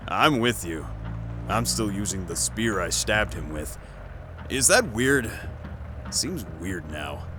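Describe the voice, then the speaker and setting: A man's voice: on the low side, sing-song, takes it slowly, pauses often, noisy background. A man playing a laconic, self-questioning old warrior in an audio drama. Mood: wry musing.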